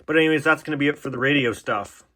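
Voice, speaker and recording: A man's voice: fairly high pitch, rapid-fire, pushed and bright. A man talking in a casual, rambling, self-deprecating way as he puzzles things out in a hobbyist vlog. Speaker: hobbyist video host.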